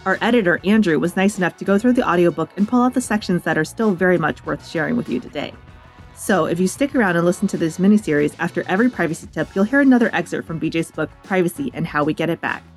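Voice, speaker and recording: Animated, lower-pitched American woman podcast host. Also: quick pace, faint music behind. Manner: chatty, direct address to listeners. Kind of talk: scripted narration with playful asides.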